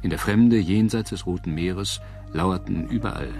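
Male narrator for history documentary, very low register, measured and dramatic, clear music bed. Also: a little mellow. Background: prominent music.

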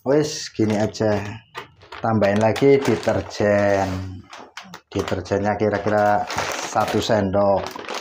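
Low-voiced man explaining laundry steps. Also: background noise. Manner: relaxed, step-by-step explaining while working. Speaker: laundry tutorial host. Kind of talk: casual how-to demonstration. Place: home laundry area, indoors.

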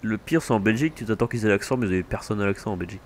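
A man from France with a fairly low voice, trading relaxed, teasing banter while playing a farming game with a friend. He talks casually into a mic, jokes dry and offhand. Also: racing. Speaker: gaming channel host. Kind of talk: casual co-op gameplay chat.

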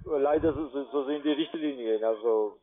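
A man's voice: medium pitch, normal speed. A man working as a call centre agent, not a native German speaker, making a sales call. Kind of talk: phone sales talk. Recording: tinny phone line.

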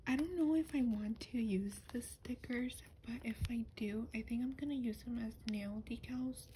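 A woman speaking softly and breathily, often whispering, in a gentle, unhurried ASMR show-and-tell. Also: a little high. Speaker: doll collector showing her collection. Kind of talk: soft-spoken ASMR product show-and-tell. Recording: noisy lo-fi ASMR recording.

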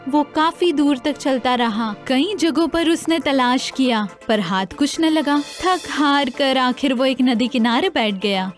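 A woman narrating a scripted fairy tale for children in an expressive, dramatized way. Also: sits high, hardly any pauses, faint music behind. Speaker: storyteller.

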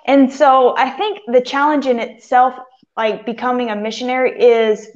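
Casual, hesitant woman, slightly high, heard from a muffled, far-off video call. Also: fairly even intonation, moderate pace, a little mellow. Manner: hesitant start, filler words. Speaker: campus ministry missionary. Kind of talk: casual storytelling on a panel.

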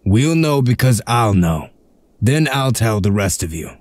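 A low, closely recorded male voice, acted by a man for a comic dub. He is a shrewd, stern crew leader. His delivery is curt and authoritative, made for giving orders. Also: sing-song, unhurried, a little mellow, no echo. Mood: patient, curt.

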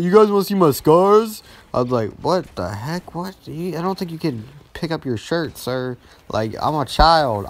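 A man's voice: fairly high pitch, no echo. A young man telling a story casually over gameplay, rambling with heavy slang and acting out dialogue as he goes. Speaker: gaming video storyteller.

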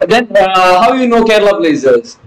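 A man's voice: high-pitched, big pitch swings, normal speed, crowd noise, low-quality recording. A young man with a Malayali English accent chatting casually on a video call, playful and teasing, with lots of hesitant fillers. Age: young adult. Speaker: video chat prankster. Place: small room, indoors.

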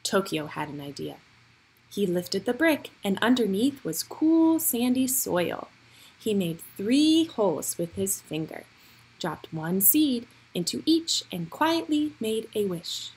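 Female storybook reader, medium pitch, slightly breathy husky tone, expressive read-aloud for young children. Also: slow pace, rough recording.

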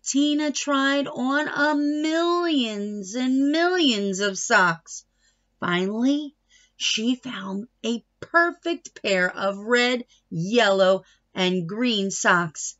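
Female picture-book reader, middle-aged, slow and emphatic, slightly dull webcam sound. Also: fairly high pitch, animated, fairly bright tone. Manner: emphatic, stretches words, asides to listeners. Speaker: read-aloud storyteller. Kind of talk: expressive children's picture book reading. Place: small wood-panelled room at home.

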